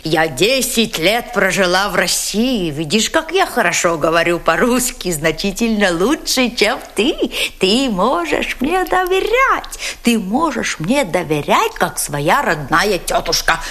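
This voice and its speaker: An actress voicing a cunning German woman interrogator who coaxes an orphan boy with wheedling sweetness and then presses him with sharp questions. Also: medium pitch, very animated, a little slow, few pauses. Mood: coaxing. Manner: wheedling, sugary coaxing that turns to sharp questioning. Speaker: actress in an audio drama. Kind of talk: dramatic radio play acting.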